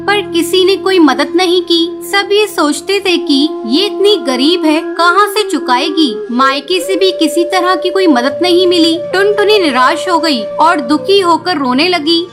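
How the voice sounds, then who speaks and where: Woman storyteller, very high voice, scripted cartoon narration, clear background music. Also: a little slow, hardly any pauses.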